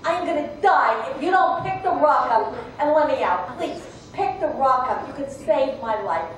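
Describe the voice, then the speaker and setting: Woman voicing a high, sly, treacherous snake. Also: animated, normal speed. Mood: pleading, wheedling. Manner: wheedling, mocking. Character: treacherous, wheedling, gloating male snake. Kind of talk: live folktale storytelling. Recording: echoey room, distant mic, faint noise.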